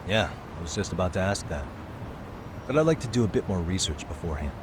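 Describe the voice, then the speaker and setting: Low-voiced, casual detective. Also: male voice, lively intonation, fast, noisy background. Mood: casual, businesslike. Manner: casual, conversational. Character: laid-back, sharp male private detective. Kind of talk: video game voice acting.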